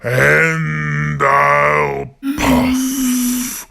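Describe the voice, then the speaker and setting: A woman voicing a hungry, menacing big bad wolf in a storybook, with a growling, gravelly voice and drawn-out, theatrical threats. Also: sits low, very animated, bright, forceful tone, a little hiss or hum, heavily acted. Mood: threatening, roaring.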